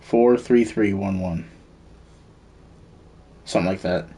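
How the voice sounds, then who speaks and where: A man's voice: sits low, takes it slowly, dark tone, husky. A man streaming a video game, giving casual commentary and muttering to himself as he thinks aloud. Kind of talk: casual live gameplay commentary.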